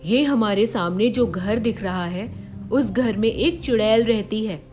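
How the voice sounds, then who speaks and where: A woman's voice: mid-range voice, unhurried, darkish tone, faint music behind, natural voice. A woman voicing a cursed fairy trapped in a lion's form, firm and earnest, in a cartoon fairy tale. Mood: firm, pleading.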